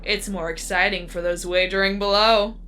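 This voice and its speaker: A woman's voice: mid-range voice, fairly even intonation, moderate pace, bright, forceful tone, a little hiss or hum, natural voice. A woman playing a disembodied, coolly menacing female announcer of a deadly arena game in an audio drama, composed and instructive. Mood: coolly teasing. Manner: composed, instructive, matter-of-fact about death. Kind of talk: scripted audio drama acting.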